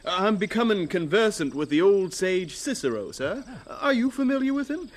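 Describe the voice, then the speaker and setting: An actor playing a pompous, bookish young college student with stilted, precise diction in a radio drama. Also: male voice, high voice, sing-song, normal speed. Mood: stiffly polite.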